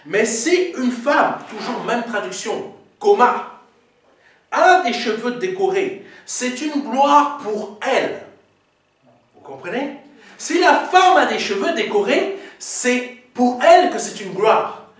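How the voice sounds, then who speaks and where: High, lively preaching man, echoing. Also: slow pace. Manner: preaching, punctuating with "Amen"; teaching, explaining Greek words. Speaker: pastor. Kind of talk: sermon. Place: church sanctuary. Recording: very echoey hall, mic far off.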